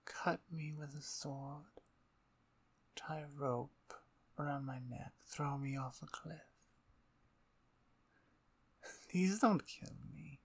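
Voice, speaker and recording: A woman playing a dominant, playful vampire conqueror in an ASMR roleplay, with a breathy, near-whispered voice and an imperious, taunting manner. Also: sits low, steady tone, takes it slowly, full character voice. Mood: defiant, menacing.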